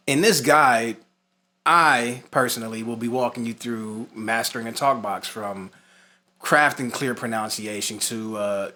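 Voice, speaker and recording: A man's voice: medium pitch, bright, forceful tone. A man hosting an informal tutorial, part scripted and part conversational, stressing and repeating his key points. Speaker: instructor and host of a talk box tutorial.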